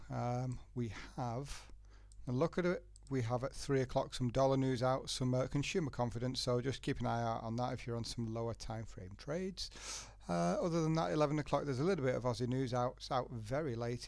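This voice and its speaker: Man, blocked nose, sore throat, chatty trading teacher, medium pitch, dry sound. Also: a little fast, vocal fry, a little mellow, no echo. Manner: chatty, explains step by step. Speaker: forex trading educator hosting a webinar. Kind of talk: live webinar walking through trade charts. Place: working from a laptop in a home setting. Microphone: laptop.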